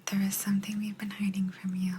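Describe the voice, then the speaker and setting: A woman voicing a kind but aloof alien examiner in an ASMR roleplay, in a breathy whisper with a gentle, halting delivery. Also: mid-range voice, a little hiss or hum, lightly acted. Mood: calm, aloof. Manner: slow, halting, gentle, calmly detached.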